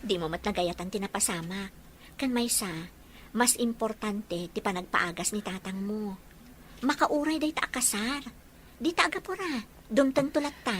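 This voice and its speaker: A woman's voice: mid-range voice, lively intonation, moderate pace, fairly bright tone, slight background noise, natural voice. A woman playing an earnest, caring young fiancée in an audio drama. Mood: understanding. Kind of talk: Ilocano audio drama acting.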